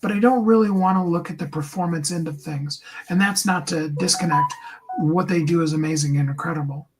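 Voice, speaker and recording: A man with a higher tenor voice giving an earnest, encouraging motivational talk to his viewers, often slowing down and dropping into a soft whisper. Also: background noise. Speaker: host and coach.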